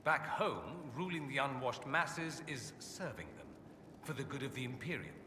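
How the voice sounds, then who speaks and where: Video game mage, male, played by an actor: suave, haughty, sardonic, highly animated intonation, recorded distant in a reverberant hall. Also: normal speed, natural voice. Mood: sardonic, amused. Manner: suave, sardonic, theatrically arch. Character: witty, haughty adult male mage from a fantasy empire. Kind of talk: video game character dialogue. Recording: echoey hall, clear background ambience noise.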